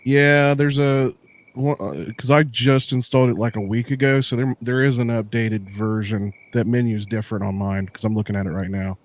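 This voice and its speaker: A man's voice: medium pitch, fairly even intonation, normal speed. A man in casual chat, explaining streaming settings with many restarts. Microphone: desk mic through a mixer.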